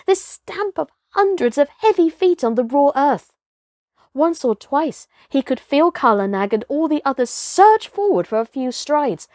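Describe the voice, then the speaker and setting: Woman, higher-pitched voice, dry close sound, expressive storybook narration, dramatic deliberate phrasing. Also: dry recording. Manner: dramatic, deliberate phrasing with strong vocal shading. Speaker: storybook reader. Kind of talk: expressive children's audiobook narration.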